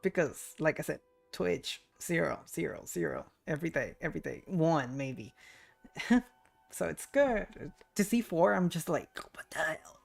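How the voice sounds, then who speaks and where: Creaky-voiced woman livestreamer chatting casually. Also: deep voice, expressive, measured pace. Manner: informal, chatty, self-deprecating. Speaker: livestreamer, VTuber. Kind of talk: casual chat with viewers while gaming. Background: game audio and music.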